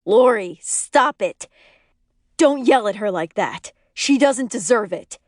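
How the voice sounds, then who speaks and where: A woman's voice: a little high, lively intonation, slow pace, dry recording, clean studio sound. Woman reading as an exasperated young husband. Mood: firm, pleading. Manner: emphatic, exasperated. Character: exasperated young husband, pleading, then fed up. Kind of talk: acted audiobook dialogue.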